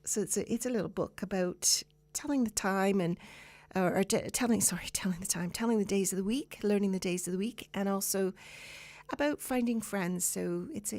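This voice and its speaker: A woman with a Canadian accent hosting a radio show, giving chatty, unscripted announcements in an informal, halting way. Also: medium pitch, vocal fry, darkish tone.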